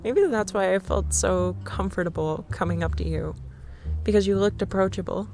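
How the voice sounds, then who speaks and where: A young woman in a scripted ASMR roleplay, playing a shy, nervous stranger who rambles and laughs nervously in a soft voice. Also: medium pitch, moderate pace, faint music behind.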